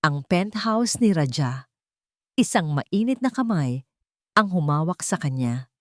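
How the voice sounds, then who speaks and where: A woman's voice: sits low, big pitch swings, a little slow, dry recording, studio quality. A woman narrating a scripted romance story in a dramatic storytelling style.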